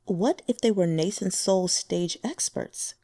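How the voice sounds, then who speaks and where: A woman narrating a translated fantasy novel with expressive storytelling. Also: unhurried, no room sound, studio quality. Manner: expressive storytelling, pitch moving with the scene. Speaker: audiobook narrator.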